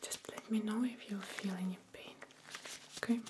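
A young woman speaking in a soft, breathy whisper, gently and slowly reassuring as a doctor in an ASMR medical role play, close to the microphone. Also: medium pitch, steady tone, noisy background. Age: young adult. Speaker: ASMR performer playing a doctor.

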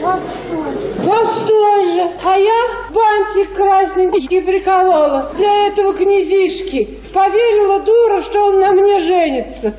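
A spiteful, wounded poor woman rails with bitter sarcasm in an extremely high voice. The radio-play sound is roomy and a little dull. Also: unhurried, few pauses, some chatter behind. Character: spiteful, wounded poor female hanger-on, vengeful and self-mocking. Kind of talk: radio drama acting.

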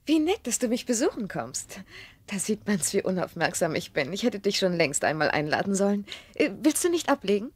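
Posh fiancee in audio drama, coolly sweet and condescending, husky, swoopy intonation. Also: female voice, medium pitch, very animated, brisk, dry recording. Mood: sweetly condescending. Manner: polished, condescending. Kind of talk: German radio play acting.

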